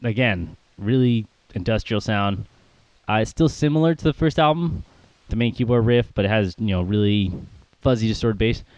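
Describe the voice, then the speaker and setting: A man's voice: lowish voice, moderate pace, a little mellow, dry recording, rough recording. A man co-hosting a casual podcast about music, rambling with plenty of fillers and little laughs. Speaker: podcast co-host.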